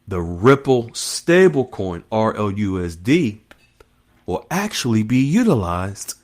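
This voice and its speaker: Slow, emphatic man with swooping pitch. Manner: emphatic, repeats and explains key terms in short phrases. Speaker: host of an online currency news channel. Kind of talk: emphatic news-style monologue to followers.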